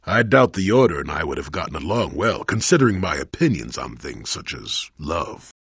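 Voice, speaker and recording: A man playing a crotchety, wry old hermit Jedi in a video game, grumbling in a folksy, sardonic way with a gravelly, husky voice. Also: a bass voice, sing-song, normal speed, fairly bright tone, no room sound, clean studio sound, full character voice. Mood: reflective, wry. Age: older. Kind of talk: video game character acting.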